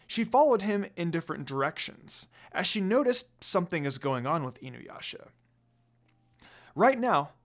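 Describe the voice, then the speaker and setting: A narrator reading a fan fiction story aloud in a dramatic storytelling style. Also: medium pitch.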